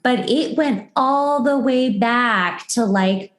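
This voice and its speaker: A woman's voice: on the high side, takes it slowly. A woman hosting a podcast, talking in an informal, chatty way about personal things in casual conversation. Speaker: podcast host. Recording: roomy, echoey sound.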